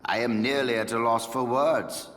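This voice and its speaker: An actor playing a courtly, scheming foreign diplomat in a video game, speaking in a formal, measured way. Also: male voice, mid-range voice, fairly even intonation, fairly bright tone, slight background noise, lightly acted. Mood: courtly, flattering. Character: smooth-talking male foreign diplomat, secretly scheming. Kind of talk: video game cutscene acting. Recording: reverberant hall under clear music.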